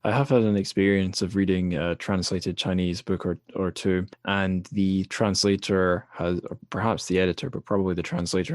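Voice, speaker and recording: Male podcast host, very low voice, dry close mic, laid-back and wry. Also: no room sound. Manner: relaxed, wry, trails off quietly at sentence ends. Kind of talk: conversational interview.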